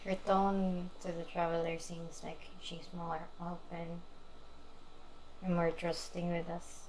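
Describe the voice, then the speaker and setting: Female streamer, casual commentary, flat intonation, slow pace, low-key reactions. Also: a little hiss or hum, rough recording. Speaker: video game streamer. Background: game music.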